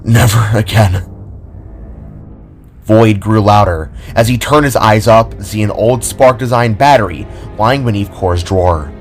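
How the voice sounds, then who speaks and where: A man narrating a scripted audio drama as a dramatic storyteller. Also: on the low side.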